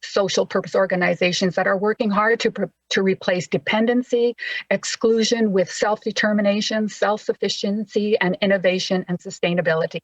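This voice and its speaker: A woman's voice: medium pitch, steady tone, fairly quick, dry recording. A woman giving a formal prepared presentation with slides on a video call, stumbling now and then over words. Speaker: panel presenter. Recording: dull lo-fi video call audio.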